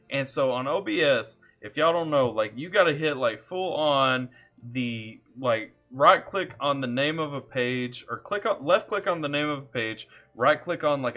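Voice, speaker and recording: Bright-voiced Southern man streaming live. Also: mid-range voice. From Kentucky. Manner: laid-back, chatty, jokey, greets viewers by name. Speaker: music reaction streamer. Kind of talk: casual live-stream chat, reading chat aloud. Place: home computer setup.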